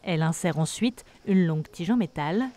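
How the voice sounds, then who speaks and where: A woman reading a scripted documentary voice-over in a measured, even way. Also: lowish voice, slight background noise. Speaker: documentary narrator. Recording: voice-over with music behind. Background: music.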